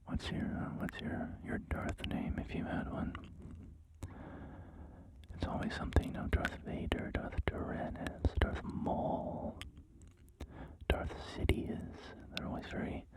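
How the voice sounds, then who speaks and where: Slow, soft whisper over gameplay. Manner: soft, apologetic, rambling with many fillers. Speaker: gaming ASMR creator. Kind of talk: whispered ASMR gameplay commentary.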